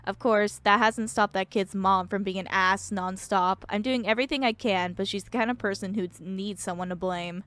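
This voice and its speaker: A woman's voice: medium pitch, fairly even intonation, moderate pace, few pauses, a bit bright, faint music behind, close to an everyday voice. Jaded, sarcastic woman mine boss read by a streamer. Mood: weary, irritated. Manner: curt, dry, dismissive.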